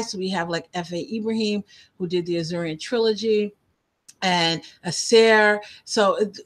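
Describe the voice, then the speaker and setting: A woman's voice: mid-range voice, a bit bright. A young adult woman hosting an online interview and explaining her research in a chatty way with many restarts. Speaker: host and researcher.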